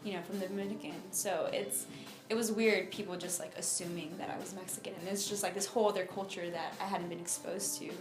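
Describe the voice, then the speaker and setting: A woman's voice: mid-range voice, fairly even intonation. Young woman, roomy sound over music. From United States (Miami, Florida). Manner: informal, halting, frequent "like" and "you know". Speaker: interviewee. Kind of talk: personal story in an interview.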